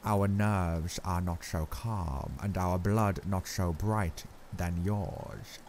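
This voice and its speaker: A man voicing an older, grave and kindly foreign professor who speaks in halting, odd word order. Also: sits low, a little mellow, slight background noise.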